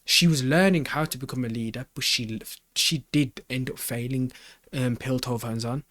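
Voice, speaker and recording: A man's voice: mid-range voice, moderate pace, fairly bright tone, dry recording. A man hosting a reaction video, thinking aloud in a casual, slangy way and often repeating his phrases as he rambles.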